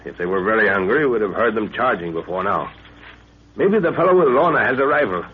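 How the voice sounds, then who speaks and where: An actor in an old radio drama playing a grave, middle-aged professor on safari, musing and authoritative. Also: male voice, fairly low pitch, lively intonation, brisk, close to an everyday voice. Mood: worried, steady. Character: learned professor on safari, grave, measured father. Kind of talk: 1930s radio drama acting. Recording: hissy, tinny 1930s radio recording.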